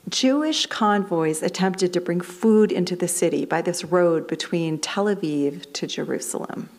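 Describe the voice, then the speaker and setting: Low-voiced woman minister preaching at a lectern. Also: a little mellow. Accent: American. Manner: measured, explanatory delivery reading from notes. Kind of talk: sermon. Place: fellowship hall, at a lectern. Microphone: lectern microphone.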